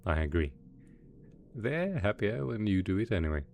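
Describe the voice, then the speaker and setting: A man playing a doting, possessive mad scientist boss in an ASMR roleplay, soft-spoken, coaxing and sweetly sinister. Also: fairly low pitch, very animated, normal speed, vocal fry, a little hiss or hum. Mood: affectionate, soothing. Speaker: voice actor in audio roleplay.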